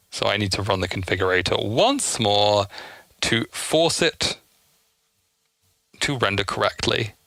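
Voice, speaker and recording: A man's voice: on the low side, vocal fry, bright, forceful tone, no echo. A man giving casual, chatty gameplay commentary, explaining things as he goes in an enthusiast's asides. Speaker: gameplay streamer.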